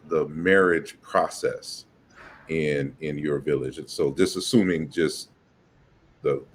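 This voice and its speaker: A man's voice: very deep voice, slight background noise. A man hosting an online interview, talking hesitantly with many "you know"s and repeated words. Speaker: host.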